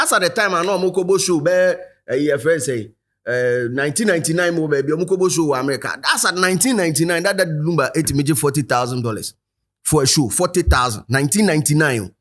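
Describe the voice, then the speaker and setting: Animated Ghanaian host, fairly high voice. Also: male voice, fast talker. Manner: animated, rhetorical, addresses viewers directly. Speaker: show host. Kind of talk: commentary to camera, mixing Twi and English.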